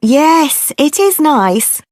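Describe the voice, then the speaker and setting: A woman's voice: sits high, big pitch swings, a little mellow, no room sound, clean studio sound, full character voice. A woman playing a friendly, chatty bus passenger in her thirties who answers a survey in a scripted listening-test dialogue. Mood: obliging. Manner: chatty, obliging, unhurried.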